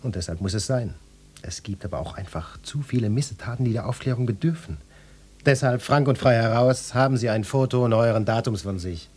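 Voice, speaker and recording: An actor playing a pompous, insinuating police detective who questions people in florid, officious language in a satirical radio play. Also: male voice, mid-range voice, fairly quick, darkish tone, husky, natural voice. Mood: weary, self-important. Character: pompous, nosy, lecherous police detective interrogating a housewife.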